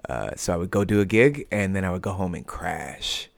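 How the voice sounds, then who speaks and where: An American man with a fairly low voice speaks as an interview guest. His phrasing is informal and laid-back, and the recording is dry and close. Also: animated, fairly quick, vocal fry, dry recording. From United States. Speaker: podcast guest, musician. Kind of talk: casual conversational interview.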